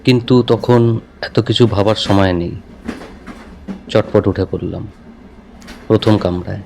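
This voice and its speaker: Low-voiced man narrating a horror story. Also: unhurried, vocal fry, a little mellow, a little hiss or hum. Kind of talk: scripted first-person horror story narration. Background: music.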